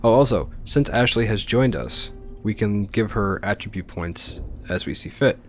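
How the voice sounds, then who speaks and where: A man's voice: on the low side, normal speed, soft background music. A man giving casual, explanatory let's play commentary on a video game. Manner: explanatory, informal.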